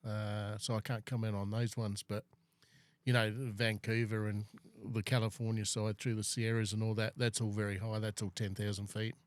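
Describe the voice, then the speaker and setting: Low-voiced man, chatty host. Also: flat delivery, normal speed, dry recording. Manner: rambling, filler-heavy, Aussie-casual. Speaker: podcast host. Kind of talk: casual chat.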